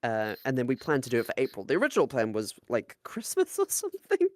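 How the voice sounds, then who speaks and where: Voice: medium pitch, wildly swooping pitch, fast, dry recording. A giggly, self-mocking podcast co-host who swears casually while chatting.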